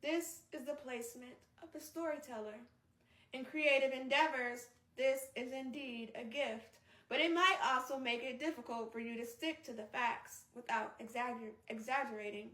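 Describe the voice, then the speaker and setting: A woman hosting a read-aloud, moving between narration and casual, expressive, chatty asides. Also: high-pitched, normal speed. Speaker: host reading a book aloud on a live stream. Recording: roomy echo, distant microphone.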